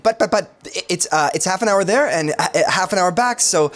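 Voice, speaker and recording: An actor playing an earnest, loyal young man in a sitcom, halting and emotionally open. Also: sits high, a bit bright, soft background music. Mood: apologetic, flustered. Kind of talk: podcast sitcom acting.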